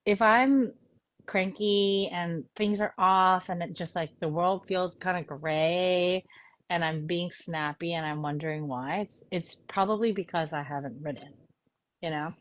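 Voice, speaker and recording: A woman's voice: lowish voice, unhurried. A woman from New York whose first language was Korean, a novelist guest talking earnestly in a casual interview, often saying "you know". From New York, United States.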